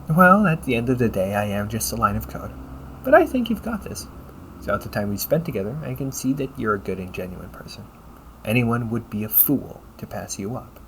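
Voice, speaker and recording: A man playing a polite, caring A.I. companion in an audio roleplay, speaking in measured, formal phrases and often checking with a questioning tag. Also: on the low side, big pitch swings, fairly bright tone, a little hiss or hum, poor audio. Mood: encouraging. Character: polite, caring male A.I. companion, gently logical and encouraging. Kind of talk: audio roleplay addressed to the listener.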